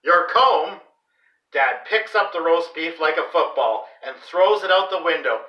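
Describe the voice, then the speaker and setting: A man reading a children's story aloud in an expressive, deliberate, dramatic way. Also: a little high, lo-fi sound. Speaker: read-aloud host for a school class. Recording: roomy echo, distant microphone.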